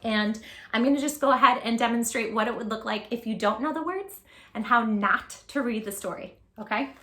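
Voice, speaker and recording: Animated woman reading a picture book aloud. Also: on the high side, a little fast, echoey room. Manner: animated, sing-song, talks to children with questions. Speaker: children's book reader. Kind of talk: read-aloud with tips for parents. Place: home room with posters.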